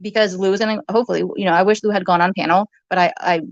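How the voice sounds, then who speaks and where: A woman's voice: mid-range voice, steady tone, very fast, dry recording. A woman in a heated, informal argument, talking in an accusatory, rambling way and sometimes swearing. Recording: muffled lo-fi audio.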